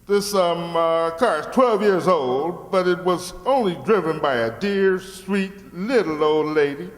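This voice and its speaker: An actor playing a shameless, folksy used-car salesman in old-time radio comedy, with theatrical, drawn-out sales patter. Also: high-pitched. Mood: smooth salesmanship. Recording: echoey hall with long reverb.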